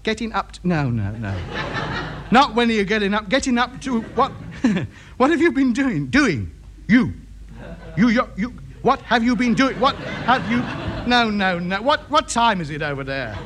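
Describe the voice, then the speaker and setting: Casting: self-important English radio hobbyist, high-pitched, swooping delivery, easily exasperated; old sitcom, noisy recording. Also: male voice, big pitch swings, fairly bright tone. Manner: exclaiming, haltingly repeating himself in broken phrases. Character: fussy, exasperated, self-important male amateur radio enthusiast in London. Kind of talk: British radio or television sitcom acting.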